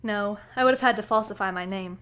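A woman's voice: medium pitch, fast, fairly bright tone, a little hiss or hum, lightly acted. A woman playing a headstrong young lady from a rich family, speaking formally and insistently in a western audio drama. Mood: assured. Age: young adult.

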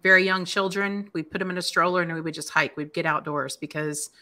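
Bright-voiced chatty woman host. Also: on the low side, fairly even intonation. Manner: friendly, chatty, quick to agree. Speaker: podcast co-host. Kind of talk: casual interview chat. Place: home office.

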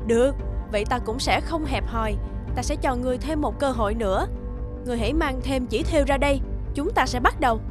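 Cartoon casting: stern, dignified goddess played by an actress, high voice, authoritative, faint music underneath. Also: female voice, fairly quick, close to an everyday voice. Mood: calm, dignified. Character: proud, commanding goddess, stern but fair. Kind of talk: animated fairy tale dubbing.

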